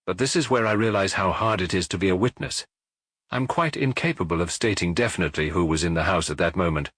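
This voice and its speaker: A man's voice: low voice, quick pace, a bit bright, no echo, clean studio sound. A man voicing a stubborn, burly retired police superintendent in an audiobook. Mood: unforthcoming.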